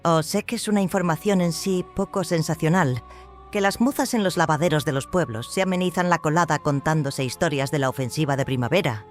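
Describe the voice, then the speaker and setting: A man's voice: a little high, a little fast, soft background music, voice pushed up, lightly acted. A man voicing a vain, self-assured court woman in an audiobook, gossiping in a coy, knowing way. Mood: breezy, self-important.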